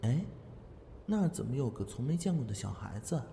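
A man's voice: medium pitch, big pitch swings, a little fast, vocal fry, noisy background. An actor playing a cheerful, good-hearted young man who chats eagerly and laughs easily in an audio drama. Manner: bright, chatty, laughs easily.

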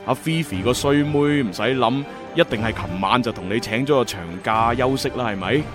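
A man playing a cocky, eager young time-travel agent with animated, exclamatory delivery in a radio drama. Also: medium pitch, normal speed, lightly acted. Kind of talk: Cantonese sci-fi radio drama dialogue. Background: music.

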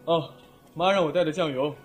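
A man's voice: on the high side, fairly quick, lightly acted. A man acting a caring but exasperated teenage schoolboy and older brother in an audio drama. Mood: polite. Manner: dry, long-suffering. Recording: music playing behind.

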